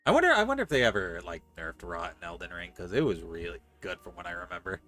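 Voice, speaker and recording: A man's voice: low-pitched, very animated, fast talker. A man streaming a game, reading its text aloud and dropping in casual, joking commentary. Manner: joking asides between read passages. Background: soft game music.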